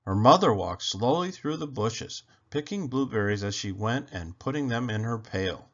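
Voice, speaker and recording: A man reading a children's story aloud in an expressive, deliberate storytelling manner. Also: mid-range voice, dull audio. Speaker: children's book reader. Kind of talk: scripted storybook narration, read aloud.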